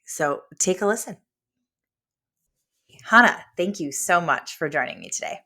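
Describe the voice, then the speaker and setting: A woman hosting a podcast, a little hoarse from being sick, introducing her guest and asking questions. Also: on the low side, expressive, a little slow, pushed and bright. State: hoarse from illness. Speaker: podcast host.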